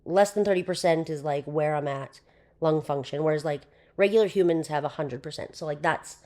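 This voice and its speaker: A young woman with a hoarse voice, chatting casually as a podcast guest, with lots of fillers. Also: sits low, fairly quick, a little mellow. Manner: chatty, with frequent fillers and laughs. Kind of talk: casual interview chat.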